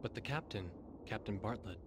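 A man's voice: lowish voice, normal speed, background noise, close to an everyday voice. An actor playing a reflective male war narrator, telling a scripted story in a game cutscene. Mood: somber, reflective.

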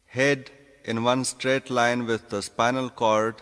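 Very slow-speaking man guiding meditation, long reverb. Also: mid-range voice, level tone, a bit bright, clear, resonant tone. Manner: deliberate, instructing in short phrases with pauses. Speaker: yoga nidra instructor. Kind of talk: guided meditation instruction. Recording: long reverberant hall echo.

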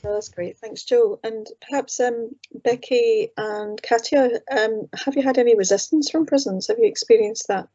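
A woman's voice: a little high, fairly even intonation, mellow. Scottish woman chairing an online panel. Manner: measured, thanking and relaying questions. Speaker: event chair. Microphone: video call. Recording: muffled video call audio.

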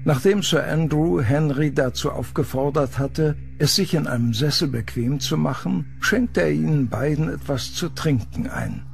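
A man narrating a scripted horror story in a measured, expressive storytelling manner. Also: fairly high pitch, gravelly, soft background music.

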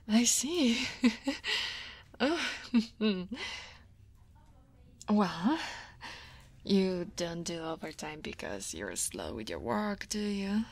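A female dragon office senior, played by a woman from Italy, speaks in a soft, husky voice at an unhurried pace. She is guarded yet teasing and easily flustered, in the intimate style of an ASMR roleplay. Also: mid-range voice, very animated, heavily acted. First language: Italian. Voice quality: soft, breathy. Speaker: voice actor.

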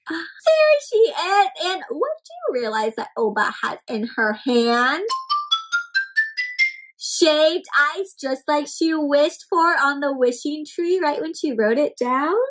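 A young woman with a high voice reads a picture book aloud for small children. She is animated and expressive, often turning to the listeners with questions as a story time host. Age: young adult.

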